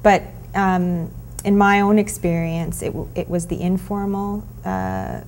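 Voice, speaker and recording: A woman lawyer giving a measured interview answer, with frequent soft fillers. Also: on the low side, lively intonation, slight background noise.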